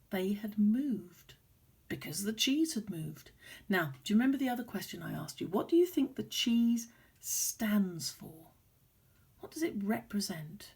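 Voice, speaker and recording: Animated woman storyteller, slightly husky. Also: mid-range voice. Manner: expressive, deliberate, dropping to hushed tones. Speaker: primary school teacher telling a story. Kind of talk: storytelling for young children.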